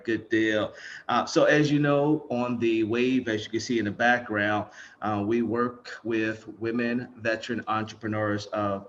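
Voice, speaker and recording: A man hosts a podcast in a relaxed, casual way, often tossing in "good deal." His voice sits at a middle pitch for a man. The sound is dull and lo-fi, with a faint hiss underneath. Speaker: podcast host. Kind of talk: casual interview.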